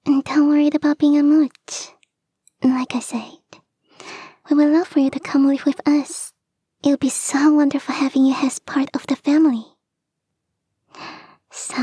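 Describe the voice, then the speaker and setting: A woman voicing a clingy young kitsune fox girl in an intimate ASMR roleplay, soft and breathy, often whispering, coaxing and sweetly insistent, close to the microphone. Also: sits high, no echo. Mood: pleading, affectionate. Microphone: close, dry microphone.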